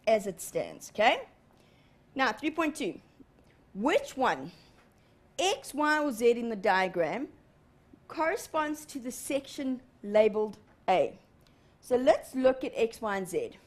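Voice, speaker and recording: A woman in her thirties teaching a live televised lesson in a studio, animated and often checking in with "okay?". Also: fairly high pitch. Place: TV studio in front of a large screen.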